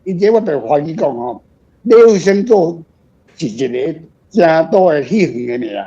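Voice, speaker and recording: Husky older Taiwanese man, higher-pitched. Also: lively intonation, moderate pace, dark tone. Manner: rambling, frank, self-deprecating. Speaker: author, guest on a radio show. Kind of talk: casual reflective book talk. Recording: dull lo-fi recording.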